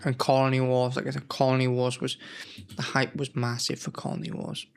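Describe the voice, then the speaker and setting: Unwell man with a gravelly, fry-laden voice, mid-pitched, leafing through old magazines and rambling to camera with plenty of self-corrections. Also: monotone, a little fast. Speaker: gaming channel host. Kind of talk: casual nostalgic commentary.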